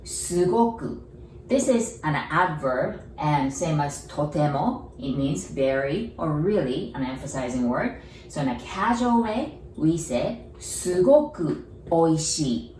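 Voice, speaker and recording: A woman with a Japanese accent teaches a lesson at an unhurried pace, repeating each word and checking in with her learners. Her voice sits a little low. She sounds distant from the mic in an echoey room. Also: expressive, slight background noise. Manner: patient, repeats words slowly, asks learners questions, laughs softly. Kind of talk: online language lesson.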